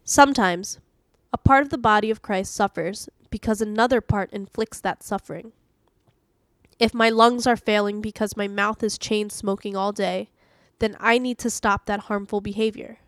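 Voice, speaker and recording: A woman's voice: mid-range voice, fairly even intonation, normal speed, no echo, clean studio sound. A woman hosting and narrating a scripted devotional reading. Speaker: host reading daily devotionals.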